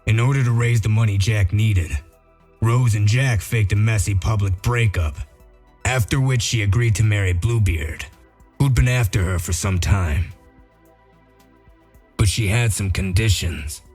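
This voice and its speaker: A man playing a gruff, dry-witted detective in a comic dub, explaining things in a matter-of-fact, wry way. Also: fairly low pitch, a little slow, darkish tone, soft background music. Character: Bigby Wolf, gruff dry-witted male detective sheriff. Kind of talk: amateur comic dub acting.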